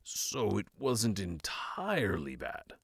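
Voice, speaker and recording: An actor playing a calm, optimistic fantasy cleric, speaking in a measured, polite way. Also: male voice, lowish voice, vocal fry, slightly rough, dry recording, exaggerated voice.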